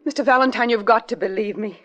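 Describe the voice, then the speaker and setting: An actress playing a distraught wife under suspicion in a 1940s radio drama, speaking in a pleading, anxious way. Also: female voice, fairly high pitch, fast talker, close to an everyday voice. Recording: dull lo-fi vintage radio recording.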